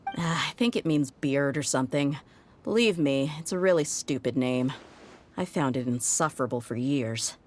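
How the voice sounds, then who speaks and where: A woman's voice: a bass voice, animated, moderate pace, darkish tone, a little hiss or hum. A woman voicing a tough, jaded young mercenary in a video game, speaking frankly and wryly. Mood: exasperated. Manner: frank, wry, world-weary. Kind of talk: video game voice acting, story dialogue.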